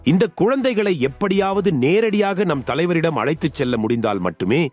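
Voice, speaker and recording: A man playing a sly, greedy swindler in an animated cartoon, talking in a scheming, swaggering way. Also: on the high side, moderate pace, soft background music, lightly acted. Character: sly greedy male swindler selling temple land. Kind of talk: animated cartoon dub acting.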